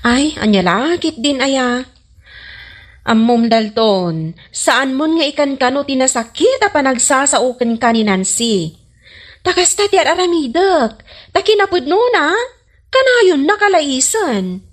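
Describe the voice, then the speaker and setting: A woman's voice: sits high, very animated, unhurried. A woman acting an earnest, slighted street noodle seller with an Ilocano accent in a comedy radio drama. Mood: sympathetic.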